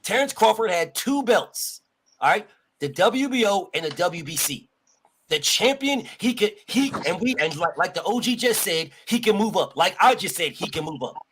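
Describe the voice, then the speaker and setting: Insistent high-voiced man debating boxing. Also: expressive, moderate pace, fairly bright tone, slight background noise. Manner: insistent, repeats points, talks over others. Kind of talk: heated sports debate.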